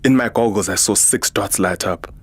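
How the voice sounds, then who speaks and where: A man's voice: on the low side, moderate pace, a little hiss or hum, natural voice. A man playing a weary, defiant soldier, narrating a science fiction audio drama in a grave, dramatic manner. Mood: tense narration. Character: weary, defiant male human soldier narrating his ordeal. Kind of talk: first-person scripted audio drama narration.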